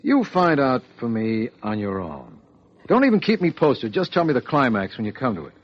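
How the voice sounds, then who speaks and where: An actor playing a wealthy, vain, self-deluded victim in an old-time radio crime drama. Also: male voice, medium pitch, huge pitch swings, moderate pace. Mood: self-assured. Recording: dull old radio recording.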